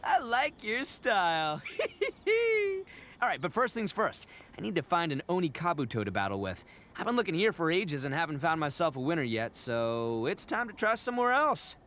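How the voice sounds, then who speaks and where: A man's voice: on the high side, very animated, moderate pace, fairly bright tone. An actor playing a boastful young male oni gang boss in a game, hearty and full of laughs. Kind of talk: game cutscene voice acting.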